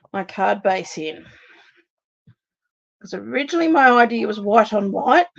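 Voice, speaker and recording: Australian woman, crafting live stream host, chatty, slightly worn voice, mid pitch, slightly dull sound. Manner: chatty, thinks aloud, talks to viewers while working. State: slightly losing her voice. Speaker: card-making demonstrator hosting a live stream. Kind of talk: casual live crafting demonstration.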